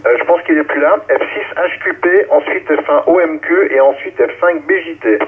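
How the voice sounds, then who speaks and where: Higher-voiced man over narrow ham radio. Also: normal speed. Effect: amateur radio transmission. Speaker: amateur radio net controller. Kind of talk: calling stations in turn on a radio net.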